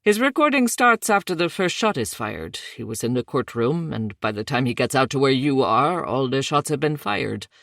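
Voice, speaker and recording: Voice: medium pitch, big pitch swings, moderate pace, vocal fry, hardly any pauses, fairly bright tone, dry recording, clean studio sound. A narrator voicing a matter-of-fact male tech worker with a thick Indian accent.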